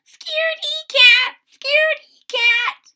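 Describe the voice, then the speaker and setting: Woman doing squeaky, very high teasing little creatures. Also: takes it slowly, sharp tone, exaggerated voice. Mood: taunting. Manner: squeaky, chirping, taunting. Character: small teasing birds and mice, squeaky taunters. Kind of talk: children's storybook read-aloud.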